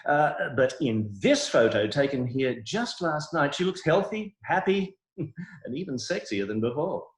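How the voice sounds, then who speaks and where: A man's voice: a little high, sing-song, measured pace, reverberant, poor audio. A man playing a smug, shameless doctor with a grave, hesitant clinical manner in a comic play reading over a video call. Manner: hesitant, mock-solemn clinical delivery.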